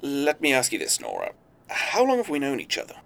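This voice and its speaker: A polite spy boss acted by a man in an audio drama. His manner is formal and measured, with menace veiled under courtesy, and his pitch moves around freely as he talks. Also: huge pitch swings, vocal fry, a bit bright, exaggerated voice. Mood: guarded, courteous.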